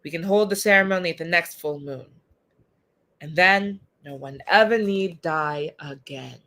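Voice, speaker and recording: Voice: medium pitch, unhurried, pushed and bright. A performer voicing a composed, sinister English master of an occult order, with a put-on British accent, in a story reading. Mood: grave, assured.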